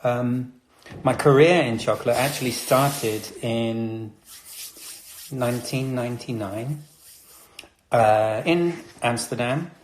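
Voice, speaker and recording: A man's voice: medium pitch, slow pace, pauses often, slight background noise. A man from Britain, a chocolatier hosting a casual live chat, talking in a chatty, slightly nervous way with many fillers. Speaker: chocolatier host. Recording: roomy echo.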